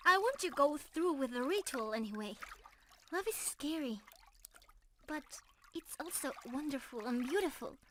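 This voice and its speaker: A woman's voice: sits high, expressive, normal speed, a little hiss or hum. A woman playing a shy, sweet young mermaid in love, speaking earnestly to one listener in an ASMR romance roleplay. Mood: tender, earnest. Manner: earnest, bashful, explaining gently to one listener.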